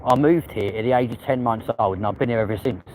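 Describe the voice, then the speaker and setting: A man's voice: mid-range voice, fast talker, rough recording. A young man with a London accent, chatting casually with viewers on a live stream and using London slang. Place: outdoors on a busy city street. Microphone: handheld phone. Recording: phone audio with loud street noise.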